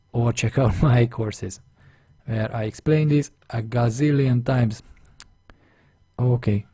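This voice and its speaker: Man, Hungarian accent, non-native English, drawing tutor, dark mellow tone, dry close mic. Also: fairly low pitch, husky, dry recording. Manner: thinking aloud step by step, chatty and self-deprecating. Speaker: illustration instructor. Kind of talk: screen-recorded drawing tutorial voice-over.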